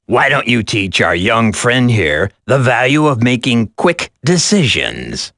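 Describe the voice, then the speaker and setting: An actor playing a smug, sadistic terrorist villain in a video game, with a drawling, taunting, theatrical delivery. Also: male voice, medium pitch, sing-song, a little slow, a bit bright, no echo, clean studio sound. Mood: smug threat.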